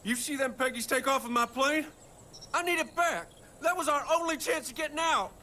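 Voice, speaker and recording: An actor playing a frantic, desperate rural husband in a video game, agitated and pleading. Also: male voice, very high voice, normal speed, sharp tone, a little hiss or hum, exaggerated voice. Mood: panicked, pleading. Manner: agitated, pleading, foul-mouthed. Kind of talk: cinematic video game acting.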